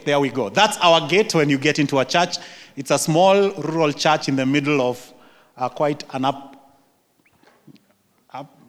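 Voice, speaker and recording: A middle-aged man with a Kenyan accent, a pastor giving a friendly church slide presentation with frequent restarts. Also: mid-range voice. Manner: friendly, laughing, restarts often. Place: church sanctuary. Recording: long hall reverb.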